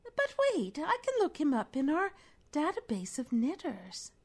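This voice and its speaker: A woman's voice: high voice, big pitch swings, a little slow, darkish tone. A woman playing a flirtatious, helpful knitting shop clerk in a spy spoof, coy and melodramatic.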